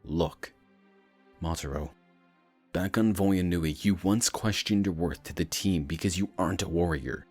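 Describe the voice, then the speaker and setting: Man playing a very deep, earnest hero. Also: a little mellow, breathy edge, faint music behind. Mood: earnest, reassuring. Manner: measured, sincere, encouraging. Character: deep-voiced male fire hero, earnest and steady teammate. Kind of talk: fan-dub animated adventure dialogue.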